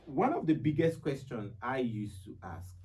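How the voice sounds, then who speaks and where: A Nigerian man hosting a conversational talk show, halting at times and repeating phrases for emphasis. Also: mid-range voice, sing-song, background noise. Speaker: radio show host.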